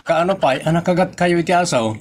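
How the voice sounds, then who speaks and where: A man's voice: fairly high pitch, fairly quick, background noise, lightly acted. A man voicing an exasperated, insistent clinic nurse with an Ilocano accent in a comedy audio drama. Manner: insistent, repeating himself. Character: exasperated male clinic nurse interviewing a rambling patient.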